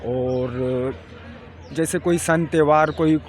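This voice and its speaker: A man's voice: on the high side, fairly even intonation, takes it slowly. A man speaks. He is a member of the public giving casual, plainly repeated answers on a city street. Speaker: member of the public being interviewed. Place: outdoors on an urban street.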